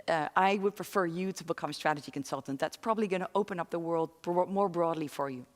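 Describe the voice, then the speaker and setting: A woman in her forties from London and the Netherlands giving a talk to an online audience, pausing often as she speaks. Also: fairly low pitch. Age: 40s. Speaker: guest speaker. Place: studio with very few people present. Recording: reverberant studio hall.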